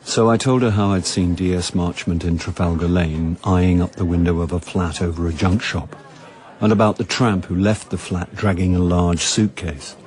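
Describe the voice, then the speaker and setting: A man's voice: low-pitched, steady tone, moderate pace, darkish tone, lightly acted. An actor playing a dry, self-deprecating middle-aged British man, a boozy actor turned sleuth, in radio drama dialogue. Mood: defensive, earnest.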